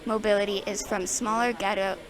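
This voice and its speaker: A woman's voice: mid-range voice, little pitch movement, fairly quick, low-quality recording. A female student reading a speech aloud. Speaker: student reader. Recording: crowd chatter behind.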